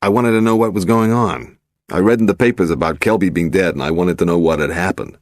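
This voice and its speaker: A man's voice: deep voice, quick pace, no echo, studio quality, natural voice. A man voicing a sleek, sinister American gangster, brash and growling, in a crime story. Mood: defensive. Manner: growling, brash, self-confident.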